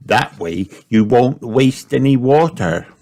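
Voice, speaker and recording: A man voicing an eager, bossy little girl pig, excited and exclaiming, in a children's storybook. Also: mid-range voice, slow, pitched above the performer's own voice. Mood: eager, instructive.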